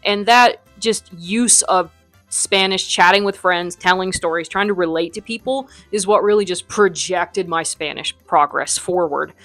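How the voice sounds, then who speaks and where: A woman's voice: fairly low pitch, measured pace, a bit bright, faint music behind. A young adult woman from the United States, a Spanish teacher who runs her academy, answering interview questions in a chatty way full of ums and likes.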